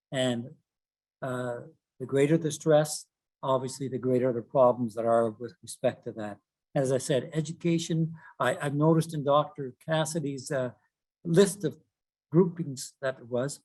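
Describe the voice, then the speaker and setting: A man, speaking as a webinar panelist over a video call, halting with many fillers as he lists examples. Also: on the high side, darkish tone, low-quality recording. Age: 70s. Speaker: panelist for a retired teachers' group.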